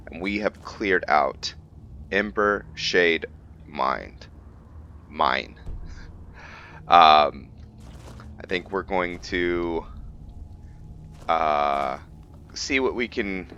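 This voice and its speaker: A man doing casual commentary as he plays a roleplaying game, musing aloud in a halting way about his character. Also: low-pitched, bright, forceful tone, muffled recording, a little hiss or hum. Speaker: gamer hosting a roleplay playthrough.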